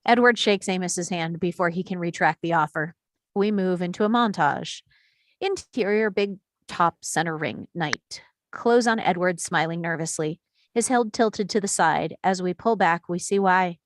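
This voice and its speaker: A woman reading the action lines of a screenplay at a table read, in a steady, descriptive way. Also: lowish voice, normal speed, no room sound. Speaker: reader of stage directions.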